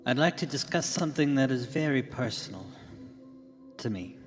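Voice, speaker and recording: A man playing an earnest tech company leader giving a solemn, measured keynote in a radio drama, pausing deliberately between phrases. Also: mid-range voice, lively intonation, lightly acted. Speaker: keynote speaker. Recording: long hall reverb with music behind. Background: music.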